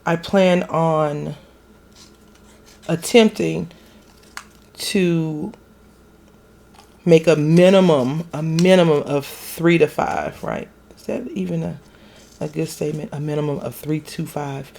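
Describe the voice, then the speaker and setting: Raspy hoarse lady rambling thru a card-making video, low gravelly voice. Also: takes it slowly, pauses often, darkish tone. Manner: chatty and rambling, self-correcting. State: recovering from illness, hoarse. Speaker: craft channel host. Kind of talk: casual chat while stamping a card.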